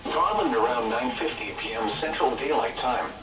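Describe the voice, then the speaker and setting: A synthetic male text-to-speech voice reading scripted emergency weather warnings in a measured, impersonal, item-by-item way over weather radio. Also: medium pitch, expressive, background noise. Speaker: automated weather radio alert reader.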